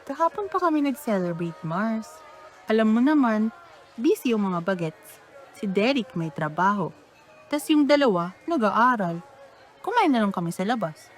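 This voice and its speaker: A woman's voice: fairly high pitch, very animated, normal speed, soft background music. Woman doing a filipino mom for radio drama, playful nagging, careless but doting, voice swings up and down. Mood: chatty. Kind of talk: radio drama acting in casual family banter.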